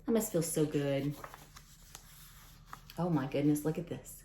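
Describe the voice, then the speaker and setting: A woman reading a picture book aloud to young children in an expressive way, adding chatty asides directly to her listeners. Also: low voice, a little slow, reverberant, slight background noise.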